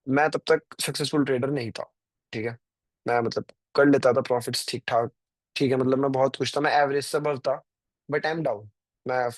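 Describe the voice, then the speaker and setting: A man's voice: mid-range voice, no room sound. Young man, dry close mic. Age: 20s. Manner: rambling, filler-heavy, addresses viewers directly. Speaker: trading stream host. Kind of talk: casual live-stream chat.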